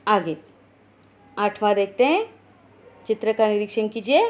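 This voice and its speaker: A woman teaching a Hindi lesson to schoolchildren, slowly explaining idioms and sayings, asking questions and then answering them herself. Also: mid-range voice, lively intonation.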